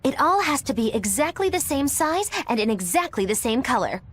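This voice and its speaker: A woman's voice: sits high, sing-song, a little fast, breathy edge, heavily acted. A woman voicing a mischievous, blunt young girl in an English anime game dub, playful and teasing in her delivery. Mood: gossipy.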